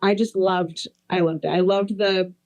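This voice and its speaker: A woman's voice: lowish voice, steady tone, a little slow, a little mellow. Twenty-something woman gushing on a podcast, rambly, lots of likes. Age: 20s. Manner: rambling, filler-heavy, enthusiastic. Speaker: podcast co-host. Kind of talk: casual chat.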